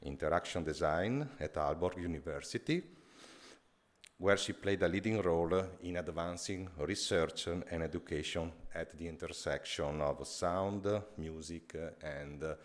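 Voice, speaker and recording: A man introducing a keynote speaker at a conference, in a halting way with many fillers and restarts. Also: sits low. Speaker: conference chair.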